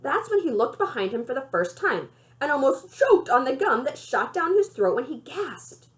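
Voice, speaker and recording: A woman, a librarian, giving an expressive read-aloud narration of a children's novel. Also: high voice, normal speed.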